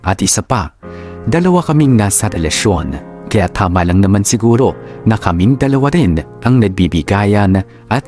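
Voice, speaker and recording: A man with a Filipino accent narrating a wife's sentimental love story in the first person, in a deliberate radio drama style. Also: fairly low pitch, darkish tone. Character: aggrieved wife recounting her marriage.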